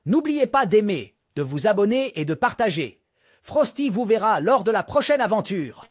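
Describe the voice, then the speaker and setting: High, animated male storyteller. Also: normal speed, no echo, studio quality. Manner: animated, sing-song storytelling to children. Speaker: children's storyteller. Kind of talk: bedtime story narration for toddlers.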